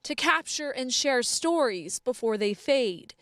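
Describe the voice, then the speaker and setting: A woman's voice: high voice, expressive, unhurried, fairly bright tone. A woman narrating a scripted television news feature as a reporter.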